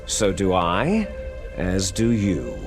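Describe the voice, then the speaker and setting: An actor playing a cold, scheming male villain who lectures slowly and menacingly in a video game cutscene. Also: low voice, wildly swooping pitch, heavily acted. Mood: lecturing. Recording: game audio with music behind.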